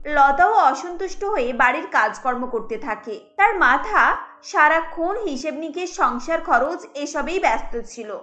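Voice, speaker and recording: A woman with a very high, bright voice narrates a cartoon story. Her delivery is scripted storytelling, with soft music behind it.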